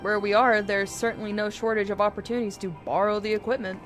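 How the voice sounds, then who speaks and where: A woman's voice: mid-range voice, fairly even intonation, fast talker. A woman game streamer reading video game dialogue aloud in character, with casual asides. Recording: music behind the voice.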